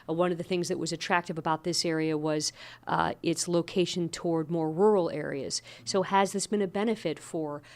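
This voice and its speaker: A woman with a fairly low voice works as a radio interviewer. She puts her questions in a measured, conversational way, speaking for the listeners. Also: fairly even intonation.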